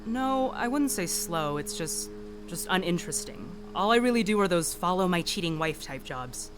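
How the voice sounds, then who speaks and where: A woman's voice: fairly low pitch, expressive, moderate pace, close to an everyday voice. Woman playing a polite lady private eye in a radio drama, composed and chatty, music behind. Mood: casual, wry. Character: polite, independent-minded female private detective. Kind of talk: audio drama acting.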